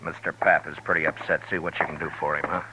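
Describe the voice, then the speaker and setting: Casting: commanding American western marshal, very low, hard-edged voice, blunt and steady, old noisy radio drama. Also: male voice, fast. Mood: pressing. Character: firm, authoritative Old West frontier marshal. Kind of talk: 1950s radio western acting and narration. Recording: noisy 1950s radio recording.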